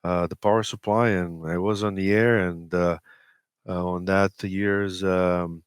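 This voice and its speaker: A man from Romania with a deep, low voice, recorded close and dry. He speaks as an interview guest in casual technical talk, keen on his subject, often halting as he finds his words. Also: steady tone, clean tone, no echo. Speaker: interview guest, amateur radio expeditioner.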